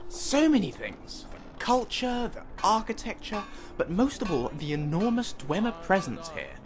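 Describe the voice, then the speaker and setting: A man acts out a witty, bookish male scholar from a fantasy video game. He is articulate and self-deprecating, a timid adventurer with an eager, very expressive delivery. Faint music plays behind him. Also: high-pitched, unhurried, full character voice. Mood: eager, fascinated. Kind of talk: video game companion dialogue.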